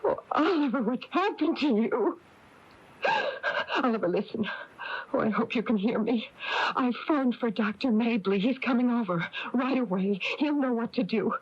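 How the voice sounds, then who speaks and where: An actress playing an anxious, fretful small-town married woman in a 1980s radio drama, with halting, flustered delivery. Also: fairly high pitch, lively intonation, husky. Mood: frightened, tearful. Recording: dull lo-fi AM radio tape.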